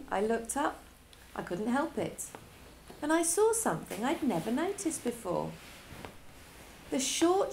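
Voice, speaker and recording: A female teacher reading a children's story aloud, with expressive delivery and dramatic pauses. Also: on the high side, vocal fry, a little hiss or hum.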